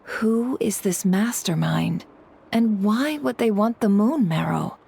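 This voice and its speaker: A woman playing a calm, analytical boss in a video game, speaking in a deliberate, matter-of-fact way. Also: mid-range voice, creaky, husky, soft background music, full character voice. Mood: serious, analytical. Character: composed, analytical female boss, calm and measured.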